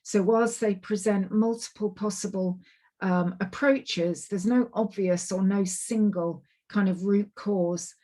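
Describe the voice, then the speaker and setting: A woman giving an online presentation on a video call, her voice a little croaky and tired, chatty and apologetic with lots of ums and restarts. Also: medium pitch, fairly even intonation, takes it slowly, dark tone. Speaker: climate project manager giving a webinar talk. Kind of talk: online presentation, loosely scripted. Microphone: computer mic on a video call.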